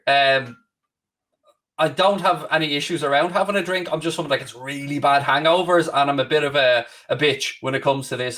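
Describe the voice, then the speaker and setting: A man's voice: mid-range voice, fairly bright tone, recorded from a distance. A man in his late thirties with a Dublin Irish accent, hosting a podcast and answering questions candidly in a rambling, self-deprecating way. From Ireland. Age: late 30s. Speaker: podcast host. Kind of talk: casual chat.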